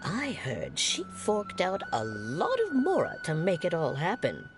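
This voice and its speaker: Low, expressive old townswoman. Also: a little slow, music in the background, full character voice. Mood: gossipy. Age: older. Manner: reflective, storytelling. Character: nostalgic, devout older townswoman reminiscing. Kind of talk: video game voice acting.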